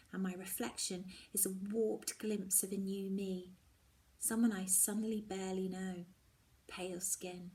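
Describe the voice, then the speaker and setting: A woman's voice: mid-range voice, dark tone. A woman narrating a first-person story expressively, with dramatic delivery that often drops toward a whisper. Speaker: story reader.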